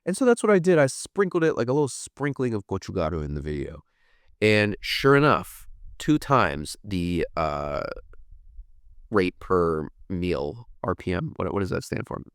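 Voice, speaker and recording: A man's voice: low-pitched, big pitch swings, normal speed, clear, resonant tone, dry recording, clean studio sound. A man in his thirties from the United States hosting a podcast, chatting casually and rambling, swearing offhand as he reads out viewer comments. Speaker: podcast host. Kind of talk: casual livestream chat reading viewer comments.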